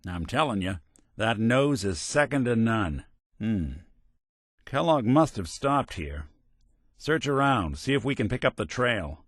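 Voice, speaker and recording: An actor playing a weary, wry robot detective with a conscience in a video game, speaking in a hardboiled 1940s American style with dry, streetwise delivery. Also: male voice, medium pitch, very animated, unhurried, no echo. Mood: focused, investigative.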